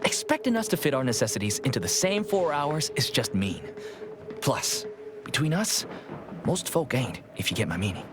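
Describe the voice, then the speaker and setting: An actor voicing a plain-spoken, weary factory worker in a video game, earnest, folksy and halting. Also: male voice, medium pitch, very animated, noisy background, close to an everyday voice. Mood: earnest, aggrieved.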